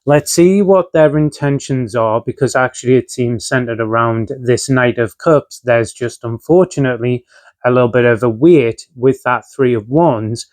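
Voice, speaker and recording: Man with a croaky post-infection voice. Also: mid-range voice, moderate pace. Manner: relaxed and conversational, frequent filler ums and uhs. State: recovering from a chest infection, voice off. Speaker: tarot reader. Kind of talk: casual tarot reading to camera.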